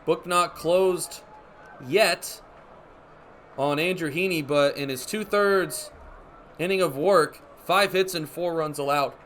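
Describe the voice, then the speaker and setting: A man's voice: fairly high pitch, slow, slight background noise. A young man calling baseball play-by-play for radio, speaking in short clipped phrases as live commentary. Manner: narrates action in short clipped phrases, building to excited calls.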